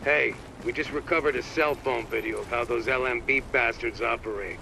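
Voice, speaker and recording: Male actor as a gravelly grim soldier. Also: mid-range voice, steady tone, moderate pace, noisy background, lightly acted. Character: grim, weary soldier briefing comrades.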